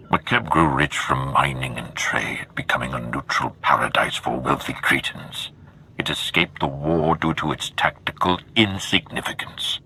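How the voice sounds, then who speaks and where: Very deep, solemn Sith warlord. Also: male voice, bright, forceful tone, slight background noise, exaggerated voice. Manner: solemn, deliberate, formal. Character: grave, commanding Sith lord, warlord of a dark empire. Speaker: military leader giving a mission briefing. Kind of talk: video game cutscene acting.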